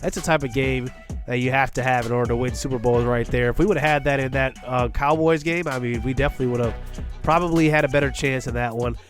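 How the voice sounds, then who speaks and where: A man's voice: medium pitch, fast, soft background music. Congested man calling a football video game. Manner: excited play-by-play, shouting on big plays. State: congested from illness. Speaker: gaming channel host. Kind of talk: play-by-play commentary over a football video game.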